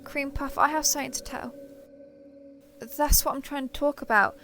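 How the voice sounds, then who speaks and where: A woman's voice: high-pitched, fairly even intonation, normal speed, pushed and bright, soft background music. A woman playing a sheltered young female alien, naive and obsessively devoted, talking earnestly and intimately to the listener in an audio roleplay. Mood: anxious, pleading. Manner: earnest, wheedling, over-explaining.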